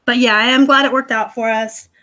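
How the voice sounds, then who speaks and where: A woman's voice: a little high, fairly even intonation, a little fast, pushed and bright. Chatty, snarky woman streaming live. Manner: chatty, snarky, laughs often. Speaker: livestream host, online reseller. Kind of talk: casual live-stream chat reading comments. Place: home. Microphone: laptop live stream.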